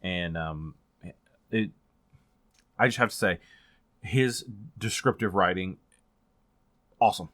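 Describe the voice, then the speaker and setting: A man with a fairly low voice chats informally as a book reviewer. He rambles along conversationally and grows animated when he stresses a point. The sound is very dry, with hardly any room in it. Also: takes it slowly, long pauses, no room sound. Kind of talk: casual chatty book vlog.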